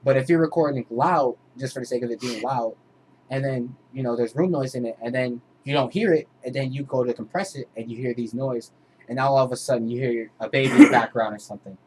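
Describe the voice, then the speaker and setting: A man with a mid-pitched voice gives an informal audio tutorial, explaining step by step like a producer. There is clear noise behind him. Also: fast talker, a little mellow, rough recording. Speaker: music producer teaching vocal mixing.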